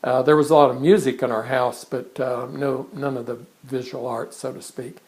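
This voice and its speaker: A man, introducing himself in a casual, halting monologue from his art studio. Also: medium pitch, steady tone, a little mellow. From born in England, raised in Kansas. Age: 60s. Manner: conversational, halting with frequent restarts and fillers. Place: his art studio in front of a blank canvas.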